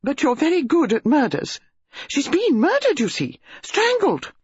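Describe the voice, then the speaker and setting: A reader voicing Mrs Bantry, a breathless, excitable English country lady who gushes about a body found in her library. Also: sits high, unhurried, no room sound, lo-fi sound, pitched above the performer's own voice, exaggerated voice. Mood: excited. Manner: gushing, breathless.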